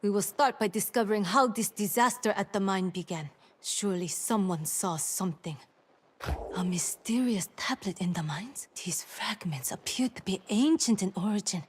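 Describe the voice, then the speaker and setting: A woman playing a dutiful female town leader in a fantasy game, speaking in a measured, formal and earnest way. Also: medium pitch, a little hiss or hum, close to an everyday voice. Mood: resolute. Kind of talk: scripted fantasy game dialogue.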